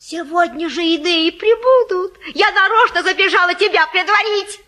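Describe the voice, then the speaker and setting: An actress playing a garrulous, folksy old Russian matchmaker in a stage comedy, coaxing and boasting theatrically. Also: female voice, very high voice, normal speed, pushed and bright. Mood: gossipy boasting. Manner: folksy, garrulous, effusive, sing-song coaxing. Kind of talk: theatrical stage-comedy acting of a classic play.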